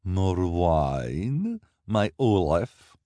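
A man voicing a scheming, greedy female fortune-teller who flatters and speaks broken English with a strange foreign accent. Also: deep voice, very slow, no echo, voice pushed up, full character voice. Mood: fawning. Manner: wheedling, ends phrases with "please". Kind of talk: audiobook character voice.

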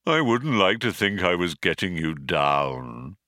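Storybook robot male reader: depressed, low dragging drone, self-pitying, dry sound. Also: dry recording, full character voice. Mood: pathetic, needy. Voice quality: low, droning. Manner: slow, dejected, flat delivery.

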